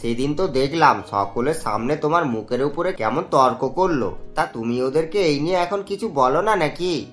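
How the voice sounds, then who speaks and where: A man voicing a sly, scheming, gossipy neighbour in an animated family story, with an insinuating manner. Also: fairly high pitch, moderate pace, faint music behind. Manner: insinuating, gossipy, with smug little chuckles. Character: sly scheming male neighbour who loves breaking up families.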